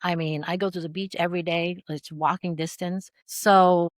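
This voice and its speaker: A middle-aged woman with a Vietnamese accent, her voice sitting a little low for a woman. She talks as an interview guest, telling stories with animation and laughter. The recording is close and dry. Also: moderate pace, dry recording. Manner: animated storyteller, laughs through anecdotes. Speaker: podcast guest. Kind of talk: casual interview chat.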